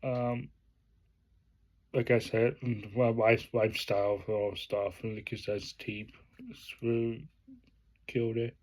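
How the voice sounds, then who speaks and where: Slow, flat-voiced man vlogging. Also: mid-range voice, rough recording. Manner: halting, many fillers and restarts; plain enthusiastic praise in a flat delivery. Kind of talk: casual unboxing vlog.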